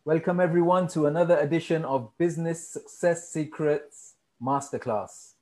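A man's voice: on the high side, moderate pace, a little mellow. A man hosting an online interview as a business coach. He talks politely and hesitantly, with many ums and uhs, over a video call.

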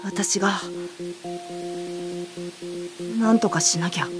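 A woman's voice: medium pitch, a little fast, music in the background, lightly acted. A woman playing an earnest, dutiful young girl who blames herself, in an audio drama. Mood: despairing. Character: young girl, dutiful, anxious, self-blaming lantern bearer. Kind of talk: Japanese audio drama acting.

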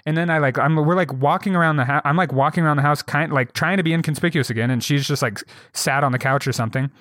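A young man with a slightly high voice tells a story casually on a podcast. He jumps into high pitch for quoted lines and swears freely. The sound is dry and close. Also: fast, fairly bright tone, no echo. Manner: swears freely, many fillers. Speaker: podcast co-host.

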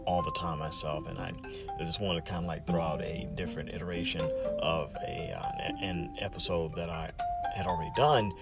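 Deep, creaky-voiced man hosting casually. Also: steady tone, over loud music. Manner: halting, hesitant. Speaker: podcast host. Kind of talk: casual unscripted introduction.

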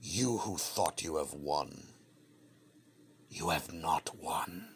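An actor playing a fanatical mad prophet in an audio drama, portentous, with whispered phrases. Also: male voice, very deep voice, slow pace, a little hiss or hum. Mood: ominous, vengeful. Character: fanatical, ominous mad prophet of a dark god. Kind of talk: solemn audio drama monologue.

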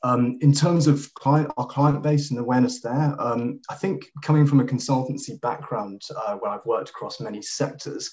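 A man's voice: medium pitch, moderate pace, few pauses, darkish tone, dull audio. A man in his thirties who grew up in Kenya, a podcast guest talking with many fillers and restarts. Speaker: guest, sustainability head. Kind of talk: podcast interview.

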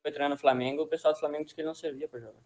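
A man chiming in quickly with anecdotes during a casual podcast chat. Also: a little high, fairly even intonation, a little mellow, muffled recording, soft background music. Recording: echoey room.

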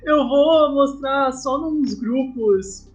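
A woman's voice: sits high, extremely slow, soft background music. A Brazilian woman raised in São Paulo, telling her own story informally as an interview guest. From southern Brazil-born, raised in São Paulo. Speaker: guest, comic artist and storyboarder. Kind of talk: casual interview, personal storytelling.

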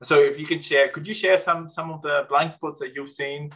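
A man's voice: fairly high pitch, little pitch movement, moderate pace, a bit bright. A man interviewing a guest, asking questions in a hesitant way with many restarts, "um"s and "like"s. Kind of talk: conversational interview.

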